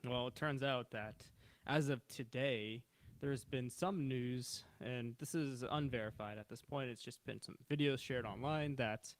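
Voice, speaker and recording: A man whose first language is Mandarin, co-hosting a podcast in loose, joking banter that drifts into longer rants. His voice sits at an everyday middle pitch, and the close mic gives it a dry sound with keyboard typing faintly behind. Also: no room sound. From China. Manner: relaxed, conversational, profane banter. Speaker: podcast co-host. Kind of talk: casual podcast chat.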